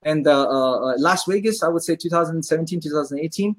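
A man's voice: a little high, quick pace. A man who owns a pizzeria, chatting as a podcast guest and telling stories with enthusiasm, acting out what his customers say. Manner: enthusiastic storyteller, acts out customers' voices. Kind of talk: casual interview chat.

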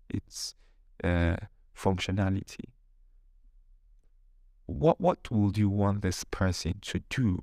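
A man's voice: low-pitched, darkish tone, no room sound, studio quality. A man hosting a podcast in an informal advice monologue, speaking emphatically with repeated phrases and rhetorical questions. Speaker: podcast host.

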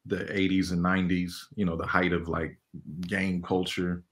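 A man in his forties with a very deep voice, talking about his life as a guest on an interview. He speaks in a loose, relaxed way, full of fillers, and often breaks into laughter. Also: moderate pace. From Los Angeles, California. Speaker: animation director, guest. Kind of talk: casual interview talk.

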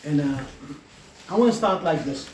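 A man who leads a church, giving an informal sermon in a deliberate way and repeating his phrases. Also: a little high, expressive. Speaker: church leader. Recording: echoey, distant, noisy room recording.

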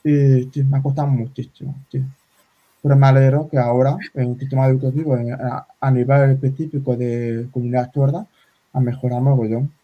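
A man in his forties whose first language is sign language, talking in a casual interview with many halting restarts. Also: medium pitch, flat delivery. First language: Spanish Sign Language. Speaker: guest. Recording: slightly dull lo-fi sound.

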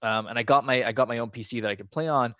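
A man's voice: medium pitch, fast talker, a bit bright, dry recording, studio quality. A man from Scotland with a half Scottish, half American accent, chatting casually as a podcast guest and telling stories in a rambling way. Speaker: podcast guest, former pro gamer. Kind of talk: casual chat, personal storytelling.

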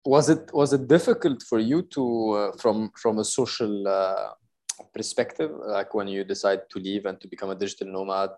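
Low-voiced man asking halting questions. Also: steady tone, a little mellow. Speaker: interviewer. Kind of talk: casual interview questions.

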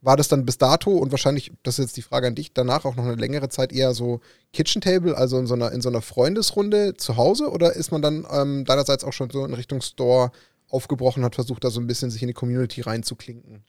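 A man's voice: medium pitch, fast talker, a little mellow, no room sound, studio quality. A man hosting a podcast, asking long winding questions with fillers. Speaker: podcast host. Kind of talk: casual conversation.

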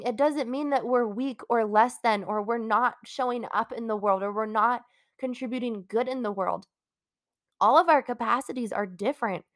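Young adult woman, congested, solo podcast host; candid, rambling, encouraging delivery, slightly high pitch, dry close recording. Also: fairly bright tone, no room sound. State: congested from a cold. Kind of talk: casual solo podcast talk.

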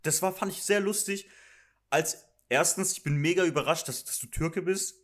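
A man's voice: on the high side, moderate pace, heavy background noise. A young man chatting casually as a podcast co-host, enthusiastic and opinionated, laughing often. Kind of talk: casual chat.

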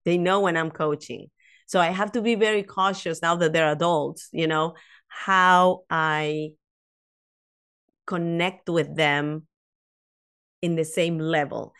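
Animated woman in her fifties, non-native English. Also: low voice, slow pace, pauses often, clean tone. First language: not English. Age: 50s. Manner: animated storyteller, frequent restarts and "you know". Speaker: podcast guest, author. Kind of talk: casual interview chat.

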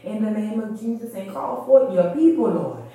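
A woman's voice: mid-range voice, lively intonation, normal speed, warm tone. A woman leading a fervent extemporaneous church prayer in short, repeated invocations. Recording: very echoey reverberant room.

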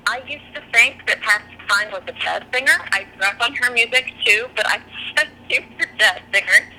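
A woman's voice: on the high side, fairly quick, pushed and bright, a little hiss or hum, rough recording. A woman guest talking candidly and easily in a podcast interview, an author and singer. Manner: chatty and candid, laughs easily.

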